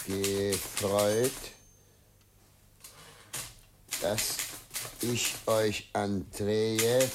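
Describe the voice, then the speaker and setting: An actor playing a troubled, middle-aged governor and father in a radio play, dutiful and self-justifying, speaking in a formal, halting way. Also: medium pitch, noisy background. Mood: slow, emphatic. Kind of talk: German radio play acting.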